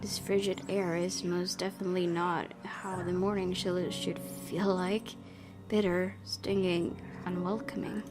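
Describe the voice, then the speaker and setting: A woman's voice: lowish voice, little pitch movement, measured pace. A woman hosting a game playthrough, reading story narration expressively and adding casual commentary. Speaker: gaming video host. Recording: game music behind.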